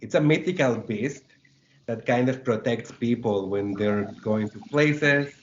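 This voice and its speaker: A man's voice: medium pitch, measured pace, a little hiss or hum. A man from El Salvador, a guest on an interview show, talking about his teaching in a friendly, articulate and enthusiastic way. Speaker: guest, computer science instructor. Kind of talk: casual interview. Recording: dull lo-fi audio.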